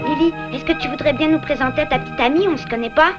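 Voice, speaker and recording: Woman playing a cartoon boy beaver, very high voice, boastful, teasing, music behind, old VHS cartoon. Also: very fast, dull audio, lightly acted. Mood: gentle. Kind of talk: dubbed cartoon acting.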